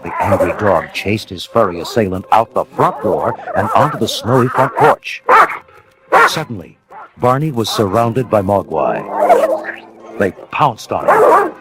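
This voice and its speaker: Male storybook narrator: low voice, hugely expressive dramatic reading, music bed underneath. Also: a little slow, rough recording.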